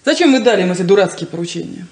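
A woman's voice: on the low side, expressive, racing, a little mellow, close to an everyday voice. Woman playing proud sceptical farmer's daughter, roomy sound. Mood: indignant. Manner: scornful, pointed, theatrical. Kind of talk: 1970s Soviet radio play acting. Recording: roomy old radio play, music.

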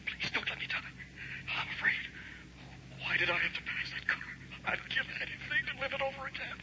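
Desperate crash victim, breathy begging, wildly varied pitch, muffled vintage radio. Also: male voice, animated, a little fast. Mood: desperate pleading. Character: desperate dying male crash victim, pleading for life. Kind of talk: 1960s radio drama acting. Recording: noisy muffled old radio recording.

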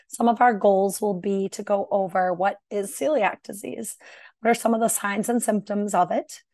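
Raspy-voiced woman doctor giving a webinar. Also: medium pitch, a little mellow, dry recording, studio quality. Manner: explanatory, conversational with fillers. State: hoarse, clearing throat. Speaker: gastroenterologist presenting a webinar. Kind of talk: medical webinar presentation. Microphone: video call.

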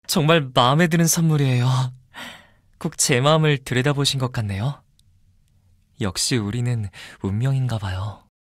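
A man voicing a polite, fastidious young man in a mobile game, softly and intimately devoted with a possessive streak. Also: medium pitch, no room sound, studio quality, natural voice. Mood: pleased. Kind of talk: mobile game character voice lines.

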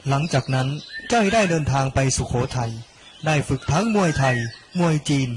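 A young man reading a scripted narration for an animated story. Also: fairly high pitch, moderate pace, background noise. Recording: loud music under the voice. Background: loud background music.

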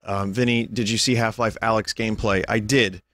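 A young man, a little hoarse and tired, chatting casually and rambling to his stream viewers from home. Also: on the low side, fairly even intonation, fairly quick, a bit bright, no room sound. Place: home room.

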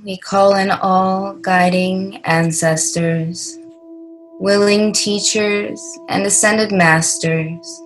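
A woman leading a guided meditation in a soothing, deliberate way, leaving long pauses between short phrases. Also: mid-range voice, low-quality recording.

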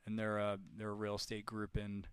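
A man making live calls on behalf of a real estate group, talking casually and haltingly. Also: on the low side, completely flat delivery, a bit bright, clear, resonant tone, dry recording. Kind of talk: live cold call, casual phone chat.

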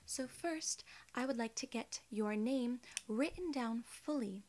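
A woman in her twenties voicing a polite alien gatekeeper in soft-spoken, measured, reassuring ASMR role-play. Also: fairly high pitch, a little hiss or hum. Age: 20s. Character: polite, formal female alien gatekeeper at a wormhole.

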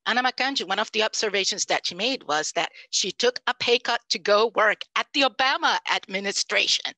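Lively Puerto Rican woman on headset. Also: medium pitch, a little fast, no room sound. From Puerto Rico. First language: Spanish. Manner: animated, emphatic, conversational. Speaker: panelist, language professor. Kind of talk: panel talk over video call. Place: home room, indoors. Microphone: headset mic.

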